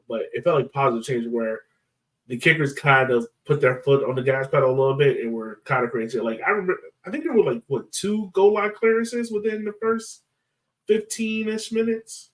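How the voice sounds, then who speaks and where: A man's voice: medium pitch, brisk, distant mic, rough recording. Playful man hosting, slightly off the mic. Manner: playful, laughs often. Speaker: soccer podcast host. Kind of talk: casual chat, joking sports talk.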